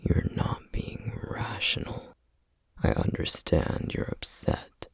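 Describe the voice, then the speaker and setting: A man playing a stern, duty-bound flame demon in an ASMR roleplay, speaking in a breathy, husky half-whisper with grave, measured formality. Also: no echo, close to an everyday voice. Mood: stern, challenging. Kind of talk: ASMR roleplay, scripted acting.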